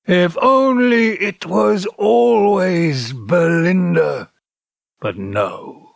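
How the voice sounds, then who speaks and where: A man's voice: high voice, vocal fry, no room sound, studio quality, heavily acted. An actor playing a bitter, wistful old man haunted by past loves in an audio drama, theatrical and brooding. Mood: bitter regret. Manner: theatrical, brooding, self-reproaching.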